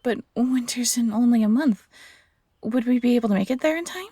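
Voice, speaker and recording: A woman playing a wry fox hybrid traveller in a fantasy audio roleplay, with a breathy, gravelly voice. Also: fairly high pitch, fairly quick, dark tone, no room sound. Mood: worried.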